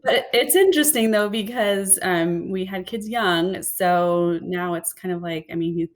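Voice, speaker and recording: A woman's voice: fairly low pitch, measured pace. A woman in her forties, raised in San Diego, chatting as a podcast guest, friendly and a little hesitant with many ums. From born in Hawaii, raised in San Diego. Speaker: guest, business owner. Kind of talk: casual interview chat.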